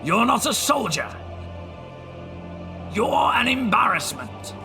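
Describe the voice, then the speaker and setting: Casting: defiant old man played by a male actor, very high voice, slow and scornful, goading delivery. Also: big pitch swings, creaky, over music, heavily acted. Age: older. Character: defiant old man taunting an armed enemy. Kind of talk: audio drama acting.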